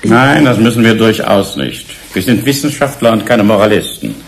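An actor playing a defensive genetics professor in a German radio drama, brusque and matter-of-fact. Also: male voice, fairly low pitch, big pitch swings, moderate pace, gravelly. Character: male genetics professor, dry, defensive, unsentimental scientist. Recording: faint background noise.

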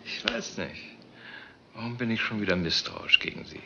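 A suspicious male detective in a radio crime play. His voice is lowish and very forceful, pressing with sardonic questions over faint noise. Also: huge pitch swings, moderate pace, creaky, highs cut off, exaggerated voice. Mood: suspicious, probing. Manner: probing, pointed questioning. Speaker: actor in a radio crime drama. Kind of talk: German radio crime drama acting.

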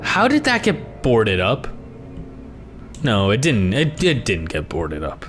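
A young man with a hoarse voice, commentating a horror game he is playing, swearing and reacting to scares. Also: on the low side, wildly swooping pitch, slow pace, fairly bright tone. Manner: reactive, swearing, jumpy exclamations. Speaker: gaming YouTuber. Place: small room at home. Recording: game music behind the voice.

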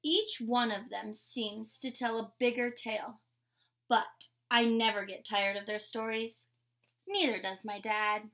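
A young adult woman reading a picture book aloud like a teacher, in a measured, instructional way. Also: a little high, steady tone, fairly bright tone. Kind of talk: picture-book read-aloud with short explanations. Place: indoor room with painted mural wall.

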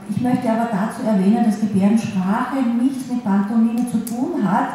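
A woman interpreter voicing a signed lecture in the first person, in a halting way with restarts and pauses, in a large wood-panelled hall. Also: mid-range voice, dark tone. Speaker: sign language interpreter. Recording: very echoey hall, faint ambience.

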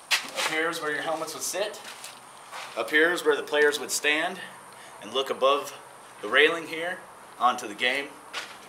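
A young man giving a casual, folksy guided tour to camera, recorded outdoors at an abandoned baseball stadium. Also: medium pitch. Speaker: urban exploration vlogger. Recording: outdoor camera audio with noise.

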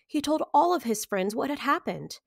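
A woman in her thirties reading to children as a story time host, with expressive, clearly phrased delivery. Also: high-pitched, normal speed, no echo, clean studio sound. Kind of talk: children's picture book read-aloud.

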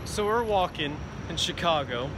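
A man's voice: fairly high pitch, animated, moderate pace. A young man chatting casually and slangily to a handheld camera as he vlogs outdoors. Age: young adult. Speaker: vlogger. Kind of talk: casual vlog chat with friends. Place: outdoors on a city street at night. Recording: noisy outdoor city street recording.

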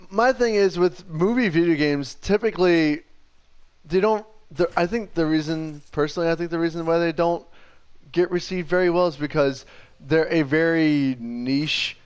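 A man's voice: fairly high pitch, clean tone. A young man co-hosting a gaming show, chatting casually and giving emphatic opinions over gameplay.

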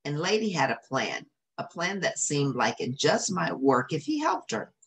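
A woman's voice: deep voice, big pitch swings, moderate pace, a little hiss or hum. A middle-aged woman reading a children's story aloud, with asides to young listeners. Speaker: storybook reader. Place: small indoor room.

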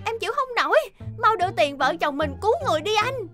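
A woman's voice: very high voice, lively intonation, fast, music in the background. A woman voicing a melodramatic, indignant young wife in a comedy cartoon, full of exclamations. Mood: frantic. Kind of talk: animated comedy cartoon dub.

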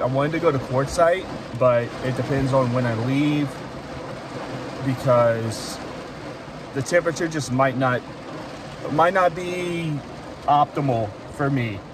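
A young man in his twenties giving a casual vlog update from inside a parked car, hesitant with many fillers and restarts. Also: mid-range voice. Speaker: vlogger. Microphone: phone camera close to face. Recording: faint rain noise in car. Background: rain and car ambience.

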